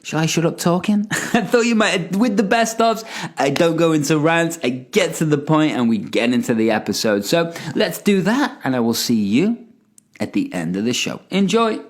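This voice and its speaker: A man's voice: on the high side, animated, normal speed. A man hosting a podcast, talking with enthusiastic, hype-driven energy and calling his guest "mate". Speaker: podcast host. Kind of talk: energetic podcast intro and interview.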